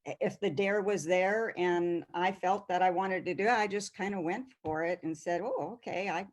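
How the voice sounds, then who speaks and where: A woman in her sixties with a fairly low voice talks over a video call. She answers in an unhurried, modest way, pausing often with fillers as she gathers her thoughts. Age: 60s. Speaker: guest athlete. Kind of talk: conversational interview answer.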